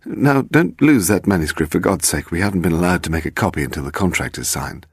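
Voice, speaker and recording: A man's voice: low voice, expressive, fast talker, breathy edge, no echo, clean studio sound, exaggerated voice. A man voicing an anxious, fussy male publisher who talks in a clipped, evasive manner.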